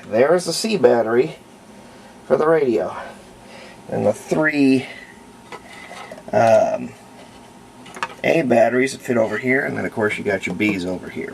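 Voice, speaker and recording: A man with a gravelly, fry-edged voice talks through a hands-on hobby demonstration. He is casual and unhurried, thinking aloud as he goes. There is faint noise behind him on what sounds like a simple camera recording. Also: mid-range voice, poor audio. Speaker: hobbyist restorer of antique radios, presenter. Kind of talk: casual hands-on demonstration, unscripted running commentary.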